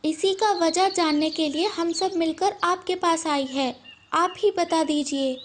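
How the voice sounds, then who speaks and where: Clever rabbit woman performing, children's story: very high, slow, respectful and pleading. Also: a little hiss or hum. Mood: worried. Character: clever rabbit reporting anxiously to his king. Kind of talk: animated children's story character.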